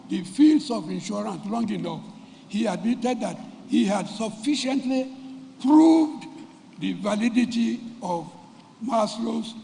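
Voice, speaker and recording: A Nigerian man delivers a formal speech, losing his voice and clearing his throat as he goes. His voice sits fairly high, gravelly and unhurried. It carries through a reverberant hall over a faint background ambience. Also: warm tone. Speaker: symposium speaker. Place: event hall.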